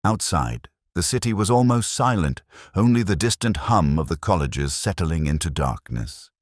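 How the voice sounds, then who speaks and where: A brooding, bookish detective acted by a man narrates a crime drama in a very deep male voice. The delivery is measured and melancholy, yet the intonation moves widely within each thought. The sound is dry, with no room around it. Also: very animated, dry recording. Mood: somber, reflective. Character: brooding, literary male police detective narrating his case. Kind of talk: slow scripted first-person mystery narration.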